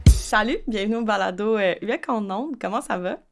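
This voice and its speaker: A woman's voice: mid-range voice, moderate pace, gravelly, over loud music. A woman hosting a podcast, introducing the episode and interviewing a guest in a casual, friendly way. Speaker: podcast host.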